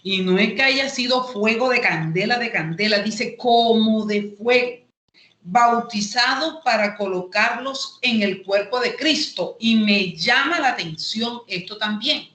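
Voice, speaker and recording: A woman with a Colombian Caribbean coast accent teaching an online Bible lesson, explaining haltingly and repeating phrases as she goes. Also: fairly high pitch, fairly bright tone. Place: indoor room, online class. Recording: echoey room, online call audio.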